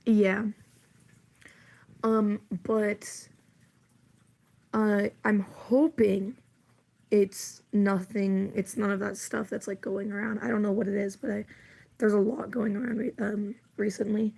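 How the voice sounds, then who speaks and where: Hoarse, gravelly-voiced woman streamer. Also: mid-range voice, level tone, takes it slowly, long pauses, dark tone. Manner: rambling, giggly, full of fillers. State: hoarse, losing her voice. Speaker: livestreamer. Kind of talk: casual live-stream chat.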